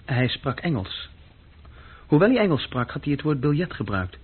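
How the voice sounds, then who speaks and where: A man's voice: fairly high pitch, big pitch swings, normal speed, slightly rough. An actor playing a restless young man telling of his adventures in a conversational radio-drama style. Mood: earnest, confiding. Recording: dull, lo-fi old radio recording.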